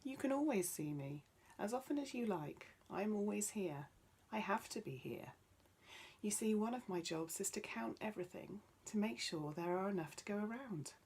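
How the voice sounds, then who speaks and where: A woman's voice: mid-range voice, lively intonation, normal speed, a little mellow, a little hiss or hum, pitched above the performer's own voice, close to an everyday voice. A woman voicing a male talking magic tree in a children's story, wise and bossy yet kindly, with an instructive manner. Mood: cheerful, proud. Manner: chanting rhymes, instructive.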